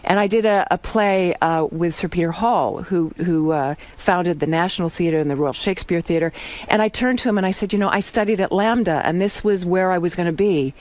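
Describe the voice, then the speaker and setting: A woman's voice: fairly low pitch, a little fast. A middle-aged woman, born in England and raised in western Canada, animatedly telling stories as a guest in a casual interview. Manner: animated storyteller, acts out quotes. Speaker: guest actress.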